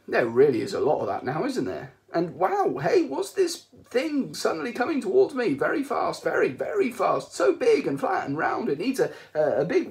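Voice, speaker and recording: A man's voice: extremely high pitch, vocal fry, voice pushed up, heavily acted. A man voicing a naive, delighted newborn whale that wonders aloud and eagerly names everything around it. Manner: wondering, eagerly naming things.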